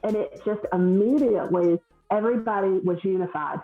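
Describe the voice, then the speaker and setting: A woman with a Southern US accent, a hairstylist talking as a podcast guest in an earnest, halting way full of restarts. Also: medium pitch, roomy sound, narrow, tinny audio, faint music behind. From Southern United States. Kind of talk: podcast conversation.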